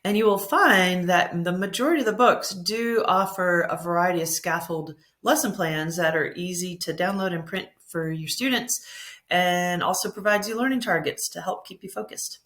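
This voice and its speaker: A woman's voice: fairly low pitch, moderate pace, fairly bright tone. Upbeat woman presenting a teaching tutorial. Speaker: teacher presenting a reading app.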